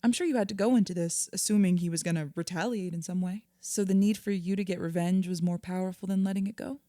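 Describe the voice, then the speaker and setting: An actress playing a composed, sympathetic therapist in an audio drama, measured and gently questioning. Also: female voice, lowish voice, fairly even intonation, no echo, studio quality, close to an everyday voice. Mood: probing, firm. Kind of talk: audio drama acting, therapy session dialogue.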